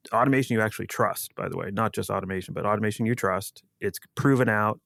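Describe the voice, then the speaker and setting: Male panel guest, measured explainer, noticeable vocal fry, dry close recording. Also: fairly low pitch, no room sound. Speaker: guest security executive. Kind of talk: unscripted panel discussion.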